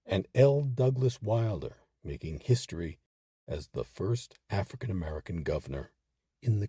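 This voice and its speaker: Deep, husky male documentary narrator. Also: mellow, dry recording, clean studio sound. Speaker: host and narrator of a history series.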